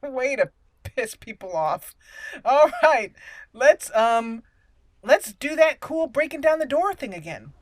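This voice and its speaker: A woman's voice: high-pitched, big pitch swings, slow, dry recording. A woman doing casual, amused let's play commentary, slipping into in-character roleplay and talking to herself as she plays. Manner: playful, amused, talks to self. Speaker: gaming channel host.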